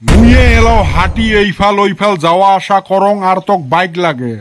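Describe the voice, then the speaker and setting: A man's voice: high voice, moderate pace, noisy background, lightly acted. A man voicing a grumpy, miserly village father in a comedy cartoon, scolding and exclaiming in a Rajbongshi accent. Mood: outraged.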